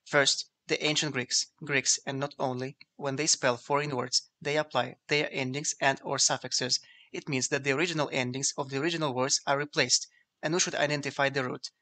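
Fast-talking man with a flat, bright delivery. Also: medium pitch. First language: Ukrainian. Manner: measured, explanatory, reading from a script. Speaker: video essay narrator. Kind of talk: scripted lecture narration.

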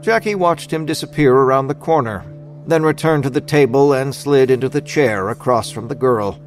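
A man reads scripted narration for an audio drama. His voice sits at a medium pitch, with soft music behind it. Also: moderate pace.

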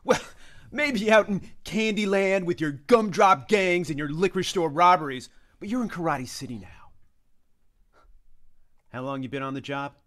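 A man playing a hard-boiled veteran eighties street cop, lecturing gruffly and emphatically in an action comedy table read. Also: medium pitch, expressive, normal speed, pauses often, a bit bright, natural voice. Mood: scornful. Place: indoors on a group video call.

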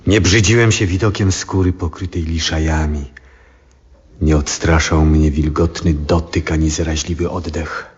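An actor playing a weary old astronomer near death in a 1970s Polish radio drama, reflective and full of awe, pensively questioning himself in a breathy, often hushed voice. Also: male voice, rumbling low, steady tone. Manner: slow, pensive soliloquy with rhetorical questions. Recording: dull, muffled 1970s radio recording.

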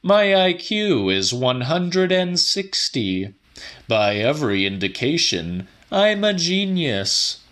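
Man performing arrogant middle-aged office genius, scornful, unhinged, sharp tense tone, deliberate pace, audiobook horror monologue. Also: a little high, sing-song, pitched above the performer's own voice, heavily acted.

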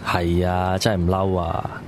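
A man's voice: low-pitched, monotone, a little slow, a little hiss or hum. A man with a Hong Kong Cantonese accent, playing a sulky, evasive young man in a radio drama. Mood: guarded.

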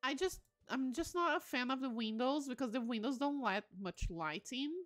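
A woman's voice: high voice, animated, moderate pace, a bit bright. A woman livestream host giving casual game commentary, musing aloud with enthusiastic exclamations.

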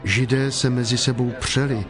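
A man reads as the narrator of a dramatized scripture recording. His voice is mid-pitched, with faint music behind the scripted narration. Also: fairly even intonation. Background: faint background music.

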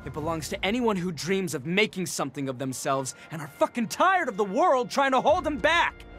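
Young gang boss, brash, high animated voice, emphatic, swaggering, video game action, music behind. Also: male voice, normal speed. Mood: rousing, defiant. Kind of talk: video game action dialogue and rousing speech.

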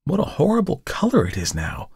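A man voicing a lively, teasing young woman who works as an artist's model, chatty and quick to scold, in a dry close recording. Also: on the high side, gravelly, slightly rough, dry recording, pitched above the performer's own voice, full character voice. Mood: surprised. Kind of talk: audiobook character voice.

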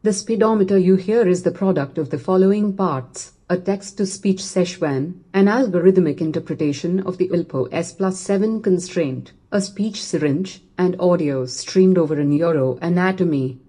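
A synthetic female voice-assistant voice from a smart speaker, reciting text in an even, machine-read way. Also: fairly low pitch, moderate pace, hardly any pauses. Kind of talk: synthetic text-to-speech reading.

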